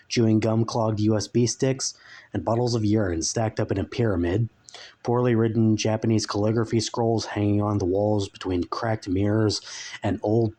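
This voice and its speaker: A man narrating a comic story as a dramatic reading, with deliberate, mock-solemn phrasing. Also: fairly low pitch, a little mellow.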